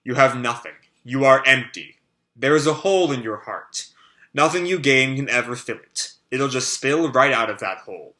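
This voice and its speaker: A man's voice: medium pitch, lively intonation, moderate pace, bright, forceful tone. A man reading aloud a comic, voicing a cold, cruel adult male villain in a contemptuous tone.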